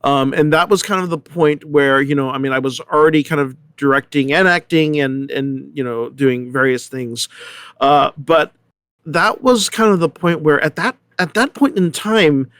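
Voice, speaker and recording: Middle-aged man, slightly high voice, casual panel talk, no room echo. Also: moderate pace, fairly bright tone, smooth, clean studio sound. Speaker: actor and director on a discussion panel.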